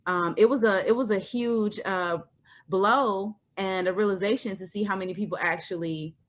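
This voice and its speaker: A woman in her thirties, a guest, talking things through thoughtfully with many pauses and fillers. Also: mid-range voice. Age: 30s. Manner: thoughtful, pauses with lots of ums and you knows. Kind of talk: reflective conversation.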